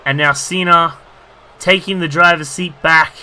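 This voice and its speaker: A man commentating his own wrestling video game match, rambling with lots of fillers and bursting into excitement at big moves. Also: fairly high pitch, a little slow. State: losing his voice.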